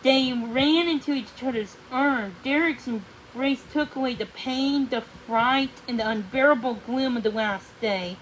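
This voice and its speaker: A woman's voice: high voice, unhurried, hardly any pauses, a little hiss or hum, low-quality recording. A woman narrating a storybook read-along in a dramatic manner, occasionally stumbling over words.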